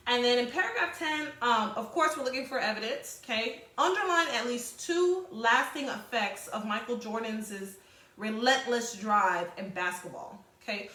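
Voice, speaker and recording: Bright-voiced woman teacher in an echoey room. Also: a little high. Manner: informal, addresses pupils as y'all; halting, self-correcting reading. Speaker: sixth-grade teacher. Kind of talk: read-aloud lesson with explanatory asides to pupils.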